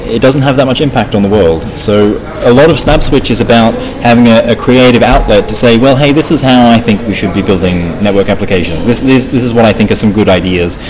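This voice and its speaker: A man with a fairly low voice answers technical questions as an interview guest. He circles back and rephrases as he explains. A busy crowd chatters behind him. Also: brisk, hardly any pauses, poor audio. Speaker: interview guest, open source project founder. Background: crowd of people talking.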